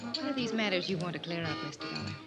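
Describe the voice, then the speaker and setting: An actress playing a cool, candid, imperious widow in a 1950s radio drama, composed and direct. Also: female voice, lowish voice, animated, fast. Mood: cool, poised. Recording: 1950s radio recording with music.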